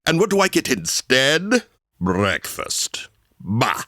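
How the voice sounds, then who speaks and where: An actor playing a gleefully macabre, exasperated horror host in 1940s radio style, theatrical and mock-sinister. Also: male voice, medium pitch, very animated, takes it slowly, bright, forceful tone, no echo, clean studio sound, exaggerated voice. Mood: sour, disgusted.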